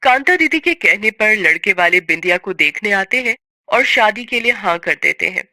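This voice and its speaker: A woman's voice: medium pitch, animated, moderate pace, bright, forceful tone, dry recording. A woman narrating a scripted story in a dramatic storytelling style.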